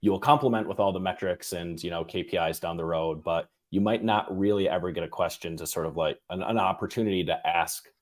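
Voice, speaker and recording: A man hosting an interview in a casual, halting way, thinking aloud in fragments. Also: low-pitched. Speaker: interview host.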